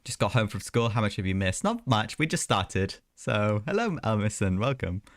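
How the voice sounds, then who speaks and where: Lower-pitched young man, casual game streamer. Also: very animated, moderate pace. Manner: chatty, offhand, joking. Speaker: game livestreamer. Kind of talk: casual gameplay commentary. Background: game music and dialogue.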